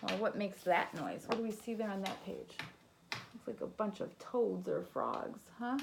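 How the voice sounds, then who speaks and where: A woman's voice: medium pitch, expressive, normal speed, gravelly, noisy background. A woman teacher reading a children's picture book aloud, doing sound effects and asking her young listeners questions.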